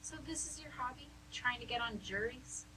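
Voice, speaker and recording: A woman's voice: on the high side, moderate pace, bright, forceful tone, full character voice, poor audio. A woman playing a sarcastic, bored young woman in an amateur radio comedy, blunt and dismissive. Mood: scornful, bored. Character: scornful, bored young woman jury candidate. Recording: clear hum and background noise.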